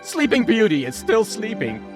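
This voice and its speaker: A woman's voice: on the high side, huge pitch swings, moderate pace, music in the background. A woman playing a grumbling, impatient mystic sidekick in a comedic audio drama, irritable and bossy.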